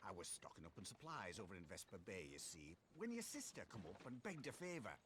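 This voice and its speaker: Swooping, folksy wagon driver. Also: male voice, fairly low pitch, big pitch swings, fairly quick, a bit bright, slight background noise. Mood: chatty storytelling. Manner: rustic, colloquial, cheery. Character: chatty, folksy male wagon driver, jovial rogue helper. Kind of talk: scripted game voice acting.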